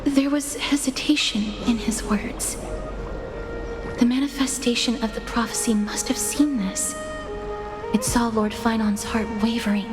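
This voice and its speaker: A devout, gentle young woman voiced by an actress with a fairly high, soft-spoken, worried delivery. Her formal game dialogue echoes in long reverb over music. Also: fairly even intonation, a little slow, close to an everyday voice. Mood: worried, prayerful. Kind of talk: scripted video game acting. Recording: echoing reverb with music behind.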